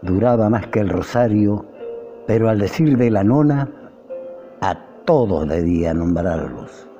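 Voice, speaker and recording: An older man with an Argentine accent recites poetry in a very dark, mellow voice. His declamatory phrasing is slow and swings widely in pitch. The recording is dull, with music playing behind him. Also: animated. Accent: Argentine Spanish. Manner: measured, declamatory phrasing with rises and falls. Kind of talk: heartfelt recitation of a nostalgic tribute poem.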